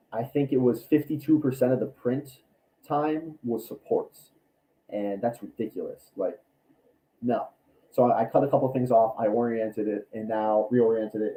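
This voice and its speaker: A man with a raspy voice from allergies, chatting casually on a livestream about his builds, rambling with many ums and restarts. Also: medium pitch, dark tone, rough recording. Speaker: cosplay maker and YouTuber.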